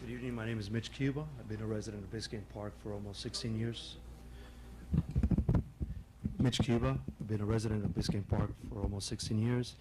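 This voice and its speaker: A man's voice: lowish voice, fairly even intonation, brisk, very noisy. A man giving firm public comment as a longtime resident, urging officials to listen.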